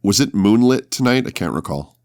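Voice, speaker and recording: A man with a very deep, gravelly voice who hosts and plays in a tabletop horror podcast, speaking deliberately. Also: animated, a little mellow, slight background noise. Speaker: podcast host and tabletop player. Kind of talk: scripted horror intro narration, then casual roleplay.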